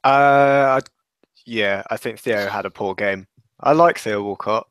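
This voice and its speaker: A man in his thirties chatting about football in a hesitant, measured way with dry humour, on a headset in a video call. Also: lowish voice, a bit bright, smooth. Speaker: podcast panellist. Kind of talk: casual football chat.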